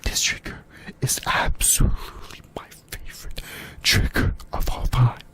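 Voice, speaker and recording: A man whispers throughout in a breathy, unvoiced hush. He hosts in an informal ASMR style, talking straight to his viewers like a fellow fan giving casual commentary. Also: measured pace. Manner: informal, addresses viewers directly. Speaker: ASMR video host.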